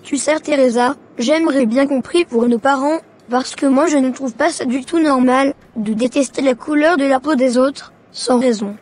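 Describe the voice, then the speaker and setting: A woman's voice: sits high, moderate pace, few pauses, darkish tone. A woman playing an earnest, devout young schoolboy in a cartoon, with music behind the voice. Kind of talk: scripted cartoon dialogue.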